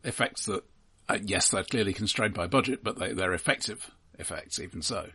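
A man with a slightly deep, rough-edged voice in relaxed, analytical conversation. He co-hosts a podcast with a wry manner, restarting phrases as he works through a point. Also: a little fast. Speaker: podcast co-host. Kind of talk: casual analytical film discussion.

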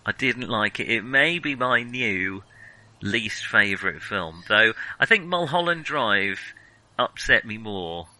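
A man's voice: on the low side, animated, slow, sharp tone, dry recording, low-quality recording. A man co-hosting a film podcast in casual discussion, hesitant and self-questioning yet blunt in his opinions. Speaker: podcast co-host reviewing films.

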